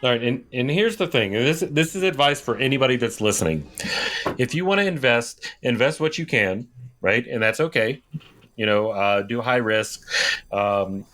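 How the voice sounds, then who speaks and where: A man's voice: mid-range voice, expressive, normal speed, fairly bright tone. A man in his thirties co-hosting a podcast, explaining things in casual chat with hesitant, restarted phrases. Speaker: podcast co-host.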